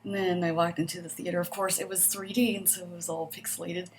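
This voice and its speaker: A soft-spoken woman talks casually through a list of questions, pausing often with ums and likes. Her voice is quiet, and a mild stutter catches on a word now and then. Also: lowish voice, level tone, slight background noise. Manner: hesitant, with frequent ums and likes, laughs softly. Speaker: vlogger answering a survey. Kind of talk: casual chat.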